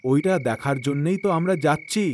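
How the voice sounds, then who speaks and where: Man voicing a confident, high-pitched boy detective. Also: brisk, darkish tone, a little hiss or hum, close to an everyday voice. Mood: impatient. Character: confident, skeptical young boy detective and team leader.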